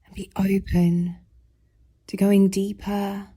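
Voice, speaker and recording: A woman guiding a yoga nidra meditation, speaking softly and soothingly in a breathy voice with long pauses between phrases. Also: mid-range voice, little pitch movement, no echo, studio quality. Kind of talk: guided meditation narration.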